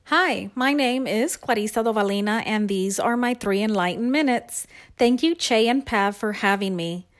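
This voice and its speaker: A woman from Texas, a writing instructional specialist, giving a prepared guest segment in a clear, rehearsed way. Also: fairly high pitch, moderate pace. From Texas, USA.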